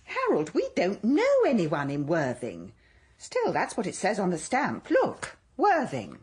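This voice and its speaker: A woman playing a brisk, fussy wife in a radio play, with tart, deflecting remarks. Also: lowish voice, huge pitch swings, a little mellow, breathy edge, full character voice. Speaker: radio drama actor.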